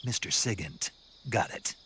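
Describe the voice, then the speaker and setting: A hardened male special-forces operative from a video game cutscene. His voice is deep and gruff, clipped and dryly questioning. Faint night noise of insects sits behind him. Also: animated, measured pace, fairly bright tone. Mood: terse, resolute. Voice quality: gravelly, gruff low voice. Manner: terse, dry, questioning. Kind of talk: video game cutscene acting over radio.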